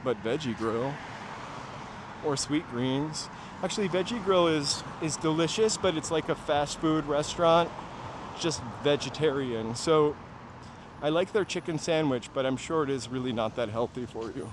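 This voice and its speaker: A man's voice: fairly high pitch, normal speed. Chatty New man over faint street noise. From New Hampshire, USA. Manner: rambling, chatty asides to live viewers. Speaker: walking-tour livestream host. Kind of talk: casual chat while walking. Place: outdoors on city streets, walking. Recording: outdoor street noise, passing vehicles. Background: traffic and urban ambience.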